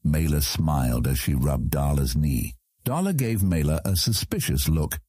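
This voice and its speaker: Deep voiced guy reading a fantasy audiobook, bit husky, dry close sound. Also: animated, normal speed, dark tone, no echo. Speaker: audiobook narrator. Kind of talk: scripted fantasy story narration.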